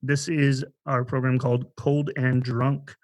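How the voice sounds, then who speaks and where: A man with a mid-pitched voice talks as a podcast host. His delivery is conversational, working through ideas aloud and rewording them as he goes. The sound is dry, with very little room in it. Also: flat delivery, unhurried, darkish tone, no room sound.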